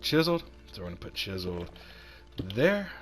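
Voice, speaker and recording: A man with a cold, hoarse and a little raspy, chatting casually while he builds in a video game and talks himself through each step. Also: medium pitch, very animated, brisk, fairly bright tone. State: hoarse from sinus infection. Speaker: gaming channel host.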